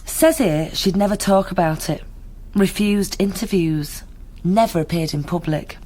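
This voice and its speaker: A woman's voice: on the low side, expressive, measured pace, dark tone. An actress playing a blunt, dry, sceptical woman in a naturalistic radio drama.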